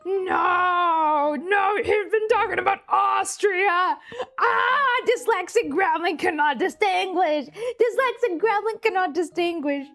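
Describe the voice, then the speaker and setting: A woman's voice: extremely high pitch, takes it slowly, soft background music. A woman from Ukraine, a native Ukrainian speaker with a Ukrainian accent, chatting casually and bubbly as she hosts a cooking livestream. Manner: bubbly, animated, rambling. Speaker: livestream host.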